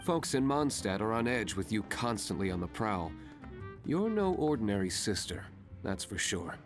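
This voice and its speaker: A man's voice: lowish voice, big pitch swings, moderate pace, over music. A man voicing a curt, serious young male tavern owner in a video game dub, terse and dry.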